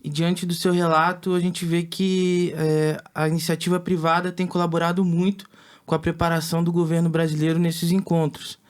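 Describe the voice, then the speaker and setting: A Brazilian man hosting a business interview, asking questions in a halting way with restarts. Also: a little high, flat delivery, smooth. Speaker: interview host.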